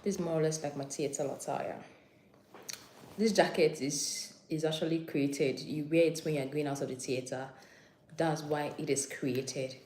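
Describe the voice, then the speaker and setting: A woman's voice: low voice, steady tone, moderate pace, darkish tone, slight background noise. A woman from Nigeria, an anesthetics and recovery practitioner, chatting casually on a live stream and answering viewer questions, often repeating herself and reading out comments. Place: on a break during night duty.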